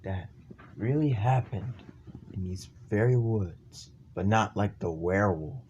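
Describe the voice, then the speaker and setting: Slow, halting man telling campfire horror. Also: fairly low pitch, darkish tone, highs cut off, slight background noise. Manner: halting, ominous, stumbling over phrases. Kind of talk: improvised amateur campfire horror storytelling.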